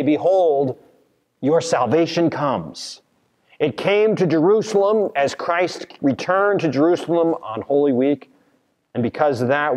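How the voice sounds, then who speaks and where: Unhurried American pastor preaching in reverberant church. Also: male voice, medium pitch, darkish tone, muffled recording. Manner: earnest, measured delivery with deliberate pauses. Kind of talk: sermon. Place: large church sanctuary, at a pulpit. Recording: long reverb of a church hall.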